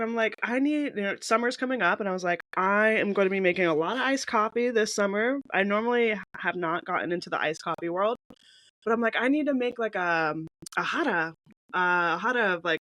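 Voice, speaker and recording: A woman telling casual personal stories in an animated way, acting out her own reactions as she goes. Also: mid-range voice, fairly quick, no echo, low-quality recording. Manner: animated, acts out reactions as quoted speech. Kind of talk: casual conversational storytelling.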